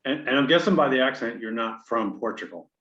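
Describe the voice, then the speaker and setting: A man's voice: medium pitch, animated, brisk, fairly bright tone, roomy sound, highs cut off. A man hosting an interview, friendly, often stammering as he starts a question. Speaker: podcast host.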